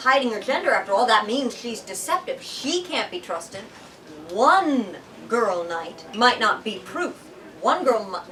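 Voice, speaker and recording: A woman leads a book talk in a fairly high voice. She narrates and explains with animated, theatrical emphasis, like a dramatic reader. The microphone is slightly distant. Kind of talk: dramatic book reading and discussion.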